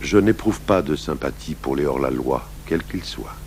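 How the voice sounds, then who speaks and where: An actor playing a calm, shrewd middle-aged police commissioner in a radio crime drama, speaking in a measured, deliberate, probing way. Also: male voice, rumbling low. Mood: reflective, grave. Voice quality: gravelly. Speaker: lead detective and first-person narrator. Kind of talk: 1980s French radio crime drama acting. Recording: old radio broadcast recording, faint hum and hiss.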